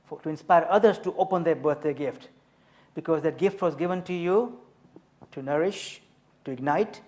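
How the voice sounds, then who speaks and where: A man in his fifties, from Tanzania, telling his story as an author and speaker on a festival panel, measured and emphatic. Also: fairly high pitch. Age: 50s.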